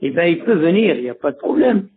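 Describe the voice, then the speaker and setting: A man's voice: on the high side, big pitch swings, fast talker. An older man talking on a phone call as a customer, wary and insistent. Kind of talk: phone conversation. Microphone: telephone call. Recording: dull lo-fi phone call audio.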